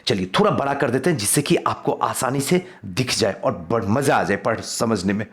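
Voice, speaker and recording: A man's voice: mid-range voice, lively intonation, normal speed, fairly bright tone. A man, a coaching teacher giving an emphatic quiz-style revision lecture straight to his students.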